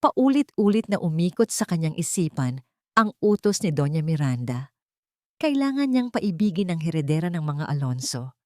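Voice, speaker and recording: Low-pitched woman narrating romantic drama, dry sound. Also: mellow, no room sound. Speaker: storyteller.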